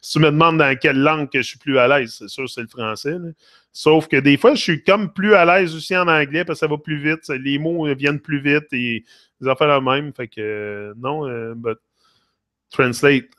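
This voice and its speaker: A man's voice: a little high, moderate pace, fairly bright tone, no echo, studio quality. A middle-aged man with a Québécois French accent, hosting a casual live stream, switching between French and English and rambling as he talks to viewers by name. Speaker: livestream host.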